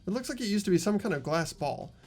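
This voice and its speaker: A playthrough host reading a video game's narration aloud in an expressive storytelling way. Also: mid-range voice, fast. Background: faint music.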